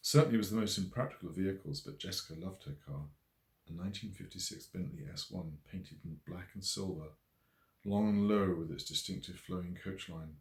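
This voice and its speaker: A man reading a novel aloud as its author, in measured, expressive literary narration. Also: low voice, vocal fry. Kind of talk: scripted audiobook narration. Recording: roomy echo.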